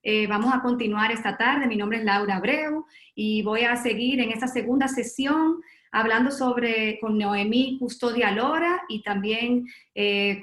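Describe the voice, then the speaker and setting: Webinar host: woman, high-ish voice, echoey room, formal introductions with pauses. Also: a bit bright. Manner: reads a long formal bio with filler pauses. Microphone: video call.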